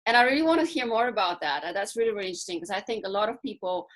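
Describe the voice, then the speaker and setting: A woman's voice: mid-range voice, animated, racing, a bit bright. Encouraging woman interviewer. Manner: encouraging, prompting with repeated words. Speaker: interviewer, host. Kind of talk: casual interview questions.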